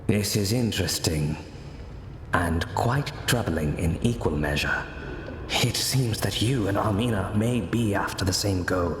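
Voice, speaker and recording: An actor playing a grave former monk turned spy, speaking in a formal, deliberate, solemn way in a video game cutscene. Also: male voice, deep voice, roomy sound, in a large hall, a little hiss or hum.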